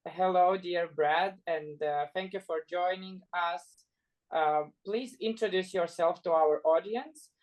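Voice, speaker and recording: A woman co-hosting a podcast, welcoming listeners and asking the guest questions in a slow, formal way. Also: fairly low pitch, a little mellow, low-quality recording. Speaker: podcast co-host.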